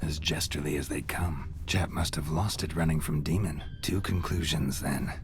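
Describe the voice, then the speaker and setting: Low, pompous monster hunter. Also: male voice, fairly even intonation, moderate pace, a little hiss or hum. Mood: pleased musing. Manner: stiff, pompous, archaic wording. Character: pompous man posing as a gruff monster hunter. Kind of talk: video game voice acting. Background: background music.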